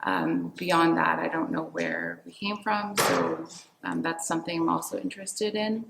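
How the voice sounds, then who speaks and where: A woman's voice: fairly low pitch, big pitch swings, moderate pace, gravelly. A woman from Winnipeg speaking reflectively in a documentary interview, with frequent fillers. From Winnipeg, Canada. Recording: echoey room with faint noise.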